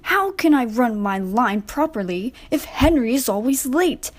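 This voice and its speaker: A cheeky, proud little boy engine played by a woman, pitched high. The delivery is bossy and emphatic, quick to get cross and impatient, in children's storybook style. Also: sing-song. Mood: cross, scolding. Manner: bossy, emphatic, chanting when hurrying.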